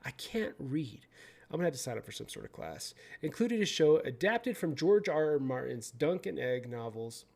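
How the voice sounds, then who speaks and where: A young adult man hosting his own channel, reading news out loud in a casual way, often stumbling over words, swearing and joking. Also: fairly high pitch, very animated, moderate pace, gravelly. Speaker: video host.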